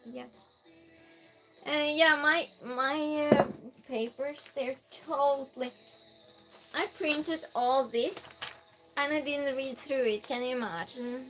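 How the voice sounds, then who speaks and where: A woman's voice: high voice, steady tone, takes it slowly, long pauses, music in the background, low-quality recording. A woman with a Norwegian accent, a native Norwegian speaker, chatting casually on a live stream, reading viewer messages aloud with plenty of fillers and restarts.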